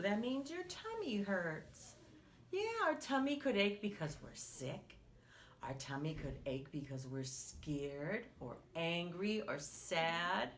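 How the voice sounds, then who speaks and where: Very animated, slow-paced woman reading to children. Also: mid-range voice, a little hiss or hum. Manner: animated, exaggerated expression, asking the child questions and pausing for answers. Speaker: children's story time reader. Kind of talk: read-aloud picture book storytelling for young children.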